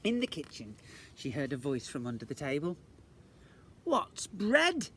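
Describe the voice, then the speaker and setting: A man's voice: medium pitch, big pitch swings, fairly quick. A man, a teacher, reading a storybook aloud to young children outdoors, playfully adding questions and asides. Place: outdoors in a garden. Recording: faint outdoor background noise.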